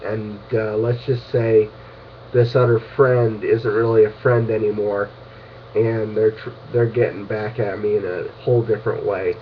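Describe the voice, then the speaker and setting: A man's voice: lowish voice, flat delivery, unhurried. A man talking casually as an amateur radio operator over a two-way radio. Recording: scratchy, muffled ham radio transmission.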